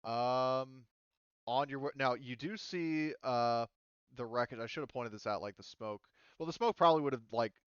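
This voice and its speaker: A man's voice: medium pitch, moderate pace, fairly bright tone, clear, resonant tone, dry recording, lo-fi sound. A man acting as game master, casually improvising narration in an informal, mildly profane way.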